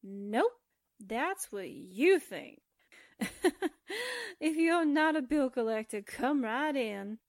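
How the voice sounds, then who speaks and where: A woman's voice: high-pitched, expressive, slow pace, pauses often, heavily acted. A woman playing a folksy newspaperwoman's sidekick in a radio drama, breezy and wisecracking. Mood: breezy, cheeky.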